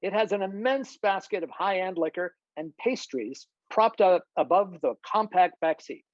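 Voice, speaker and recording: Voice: mid-range voice, expressive, moderate pace, dry recording. A narrator reads screenplay scene headings and stage directions as scripted narration over a video call. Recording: slightly dull video-call audio.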